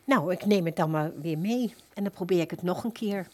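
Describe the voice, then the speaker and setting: A woman playing a simple, earnest customer in a radio play, plain-spoken, puzzled and gently persistent. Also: fairly low pitch, a little fast, close to an everyday voice. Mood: disappointed, puzzled. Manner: plain-spoken, questioning, insistent.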